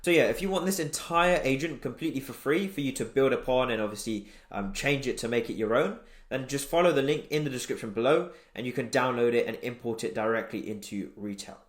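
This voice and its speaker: Guy explaining stuff in a tutorial, casual and chatty, normal pitch, echoey room sound. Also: a little fast, hardly any pauses. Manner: explanatory, conversational. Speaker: tutorial presenter. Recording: roomy, echoey voice recording.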